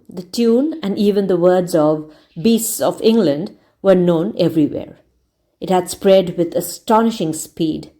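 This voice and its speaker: A woman reading a story aloud as a teacher, in a deliberate, explanatory manner. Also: lowish voice, mellow. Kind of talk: read-aloud narration with word explanations.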